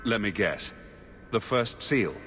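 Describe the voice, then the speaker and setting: An actor playing a determined fantasy hero in a video game, direct and brisk with questions. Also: male voice, lowish voice, animated, pushed and bright, slight background noise, heavily acted. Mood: questioning. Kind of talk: video game dramatic acting.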